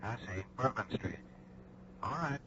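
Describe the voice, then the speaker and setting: An actor playing a cool, terse underground contact, heard through a distant telephone effect in a radio drama. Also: male voice, medium pitch, lively intonation, unhurried, fairly bright tone. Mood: cool, businesslike. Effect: telephone filter, distant. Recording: faint, dull, noisy phone-effect radio recording.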